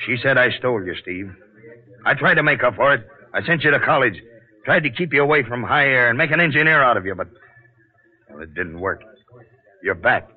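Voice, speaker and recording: A man's voice: mid-range voice, animated, fairly quick, long pauses, husky. Weary working-class father, tinny old radio. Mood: resigned, regretful. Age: middle-aged. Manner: plain-spoken working-class talk. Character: weary, protective middle-aged father, veteran tunnel laborer. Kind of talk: 1940s radio drama acting. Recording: tinny 1940s radio broadcast, music.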